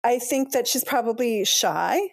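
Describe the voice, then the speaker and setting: A woman co-hosting a casual podcast, speaking in a gentle, thoughtful way with light laughs. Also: on the high side, a little slow, vocal fry, slightly rough, no room sound. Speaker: co-host.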